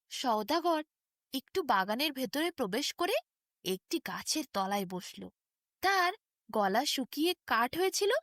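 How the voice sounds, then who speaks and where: A woman narrating a scripted bedtime fairy tale in an expressive, descriptive storytelling manner. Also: sits high, dry recording, studio quality.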